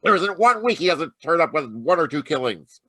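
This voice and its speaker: A man's voice: fairly high pitch, big pitch swings, fairly quick, bright, forceful tone, husky, no room sound, studio quality, exaggerated voice. A man playing a short-tempered police lieutenant in an old-time radio detective drama, grumbling and blustering. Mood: exasperated. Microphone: video call.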